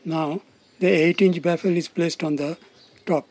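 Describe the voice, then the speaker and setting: A man's voice: fairly high pitch, fairly even intonation, measured pace, darkish tone. A man giving scripted, step-by-step narration as the presenter of a technical demonstration. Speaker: presenter of a loudspeaker testing demonstration.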